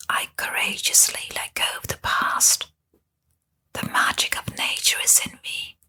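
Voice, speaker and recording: A woman reading a scripted spiritual ASMR narration in a breathy whisper, in short, deliberate phrases. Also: pushed and bright.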